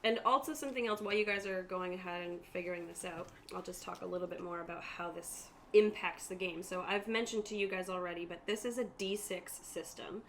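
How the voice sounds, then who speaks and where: A woman's voice: lowish voice, steady tone, fairly quick, hardly any pauses, a bit bright. A woman acting as game master, explaining tabletop game rules to players on a stream in a casual, chatty way. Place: room at a group gaming table. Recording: noticeable room noise behind the voice.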